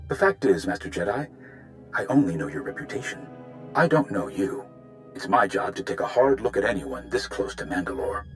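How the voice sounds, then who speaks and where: Deep-voiced, blunt warrior man. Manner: blunt, formal, measured. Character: gruff, loyal, blunt Mandalorian warrior man, clan enforcer.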